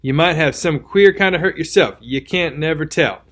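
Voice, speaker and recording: A man's voice: a little high, normal speed, fairly bright tone. A man doing a rambling wounded country soldier. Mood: warning. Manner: folksy, rambling, garrulous. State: weak from a wound, slurring. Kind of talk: audiobook character reading.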